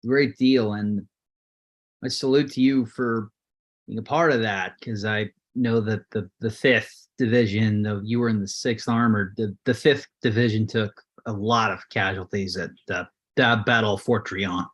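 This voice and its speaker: A man's voice: lowish voice, fairly even intonation, moderate pace, a bit bright. Young man, late twenties, hesitant, lots of fillers, video call. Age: 20s. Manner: hesitant, many uhs, eager history buff. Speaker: call-in guest. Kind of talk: casual chat over a video call.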